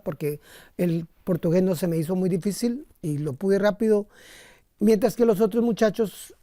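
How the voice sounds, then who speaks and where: A Colombian man speaks as an interviewed missionary priest. His tone is dark and relaxed, recorded dry with little room sound. He tells his story conversationally, often stopping to rephrase as he goes. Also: high voice, no echo.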